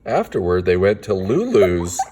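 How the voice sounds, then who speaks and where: A father reading a children's storybook aloud in an animated way, with playful, chatty asides to his kids. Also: low voice, moderate pace, noisy background.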